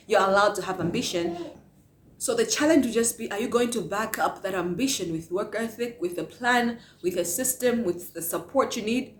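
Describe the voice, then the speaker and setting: A woman from Malawi giving a passionate motivational talk to camera, asking many rhetorical questions. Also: mid-range voice. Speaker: vlogger. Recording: echoey room.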